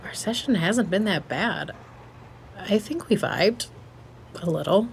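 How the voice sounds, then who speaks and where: A woman's voice: medium pitch, lively intonation, slow pace, creaky. A woman playing a brash, cheeky app user in a naturalistic audio drama, talking in casual, flippant slang. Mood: casual, defensive. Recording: noticeable background noise and ambience.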